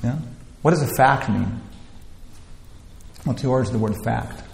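Male lecturer, casual rambling delivery, gravelly voice, echoey hall. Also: mid-range voice, normal speed. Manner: rambling, many "you know" fillers and restarts. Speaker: teacher leading a scripture class. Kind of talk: informal spiritual lecture. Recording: roomy hall with long echo, faint ambience.

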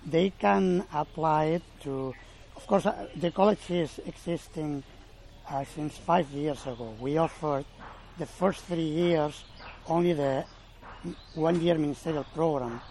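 A man with a slightly higher voice speaks in halting, self-correcting explanations, answering questions at an informal gathering. He talks outdoors, with a faint hum of ambient noise behind him. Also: gravelly, darkish tone, rough recording. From Spain. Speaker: college representative. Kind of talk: informal Q&A presentation.

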